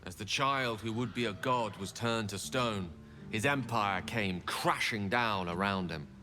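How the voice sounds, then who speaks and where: An actor playing a scholarly, guilt-ridden wizard in a video game, speaking in an eloquent, measured, theatrical storytelling manner. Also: male voice, lowish voice, pushed and bright, in a large hall. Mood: solemn storytelling. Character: wistful, guilt-ridden scholarly wizard man telling his past. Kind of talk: dramatic video game dialogue. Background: music.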